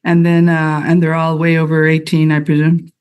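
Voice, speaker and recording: A woman's voice: low voice, completely flat delivery, normal speed, a little mellow, clear, resonant tone. A woman judge in a courtroom questioning a father directly and probingly about his background.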